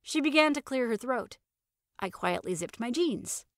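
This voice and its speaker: A woman narrating a first-person story in an expressive, conversational manner. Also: a little high, moderate pace, no echo, clean studio sound.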